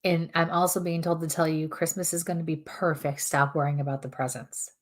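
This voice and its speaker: A woman medium giving spirit messages on a live show in a calm, earnest way, her throat strained as she loses her voice. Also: low voice, brisk. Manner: calm, earnest, relays messages in repeated "I feel like" phrasing. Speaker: psychic medium and co-host. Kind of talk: live chat-room spirit readings.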